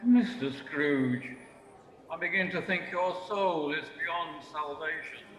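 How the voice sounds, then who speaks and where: A man's voice: a little high, a bit bright. A man as a solemn, echoing Christmas ghost. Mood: reproving. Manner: measured, admonishing, theatrical. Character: solemn, reproving male Christmas spirit guiding a miser. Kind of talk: amateur radio play acting. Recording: echoey, reverberant, slightly noisy recording.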